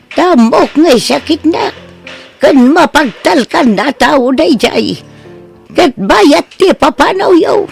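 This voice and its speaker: A woman playing a stern, resolute old woman in an Ilocano radio drama, speaking slowly and reproachfully with an Ilocano accent. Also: high voice, creaky, full character voice. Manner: slow, emphatic, reproachful. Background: background music.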